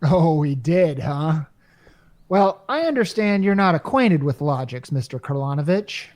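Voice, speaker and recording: A brash salesman played by an actor in a radio comedy, with a very animated, swooping delivery. The patter is slangy and wry, that of a worn-down working-class wisecracker. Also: medium pitch, unhurried, close to an everyday voice. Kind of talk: hobby radio play acting.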